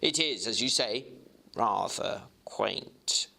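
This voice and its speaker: A man's voice: on the low side, slow, gravelly, sharp tone, a little hiss or hum, exaggerated voice. A man playing a polite, observant Victorian clerk in a literary dramatic reading, speaking carefully and deferentially. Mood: cautious.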